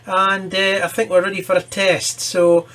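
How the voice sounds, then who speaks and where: A man's voice: high-pitched, monotone, normal speed, background noise. A man presenting a hobby electronics repair in his workshop, talking informally and thinking aloud as he works. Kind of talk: casual hands-on demonstration commentary. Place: workshop, at a workbench.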